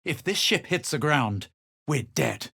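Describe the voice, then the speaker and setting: A man playing a self-assured, aloof adventurer hero in a video game, speaking with measured, dramatic delivery. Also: fairly high pitch, big pitch swings, creaky, bright, forceful tone, heavily acted. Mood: self-assured, musing. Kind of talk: video game voice lines, scripted narration and barks.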